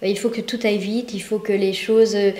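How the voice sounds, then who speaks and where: A woman in her thirties with a Belgian French accent, talking frankly and quickly in casual discussion. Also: mid-range voice, level tone, mellow. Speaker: co-host. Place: indoor studio set, echoey room. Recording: roomy echo.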